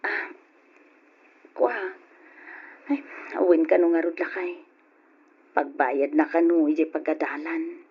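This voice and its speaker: Irene, an emotional, pleading wife. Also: female voice, low voice, fairly even intonation, slow, pauses often, husky, full character voice. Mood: hesitant, uneasy. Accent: Ilocano. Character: Irene, emotional wife and daughter, pleading, torn over money. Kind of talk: old Ilocano radio drama acting. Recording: dull, lo-fi old radio recording.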